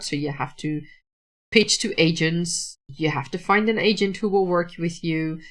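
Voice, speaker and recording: A woman's voice: on the low side, a bit bright. A Dutch woman speaking English as a second language, talking casually about writing on a livestream with many restarts and fillers. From Netherlands. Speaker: writer hosting a livestream.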